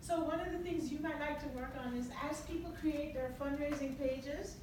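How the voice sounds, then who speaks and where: High-voiced woman presenting in an echoey room. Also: normal speed. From Jamaica. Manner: chatty, laughs often, animated storytelling. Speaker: workshop presenter. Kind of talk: lively workshop talk. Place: large echoey meeting room. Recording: echoey room from far off, noisy.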